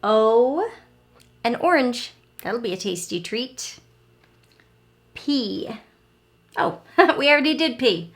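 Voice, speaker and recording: A woman with a high voice reads a picture book aloud to small children. She takes her time and keeps her words simple and expressive, putting questions to the listener as a teacher would. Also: slow, pauses often. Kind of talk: interactive storybook read-aloud for young children.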